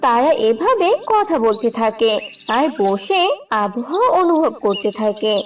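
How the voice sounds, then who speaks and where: High, lively woman storyteller. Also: a little slow. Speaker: narrator. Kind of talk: scripted cartoon story narration. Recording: distant voice with clear background noise.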